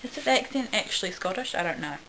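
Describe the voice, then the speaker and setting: A woman's voice: mid-range voice, animated, fast talker, background noise. A young woman dramatically reading a story at home in a bedroom, theatrical, with self-mocking asides. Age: young adult. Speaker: vlogger reading own fanfiction. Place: small bedroom, indoors.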